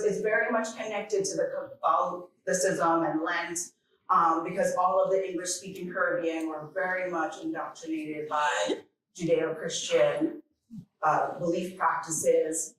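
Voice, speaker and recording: Echoey, unhurried woman keynote speaker from Jamaica. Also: fairly low pitch, a bit bright. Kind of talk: informal keynote speech. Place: large echoing conference hall. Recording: very echoey hall, distant microphone.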